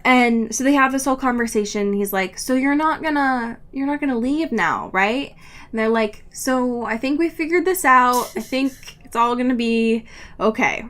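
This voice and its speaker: A woman's voice: fairly high pitch, moderate pace, fairly bright tone, slight background noise. A woman co-hosting a podcast, casually recapping a book in a gushing, sweary way. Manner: gushing, sweary, often laughing. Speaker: podcast co-host.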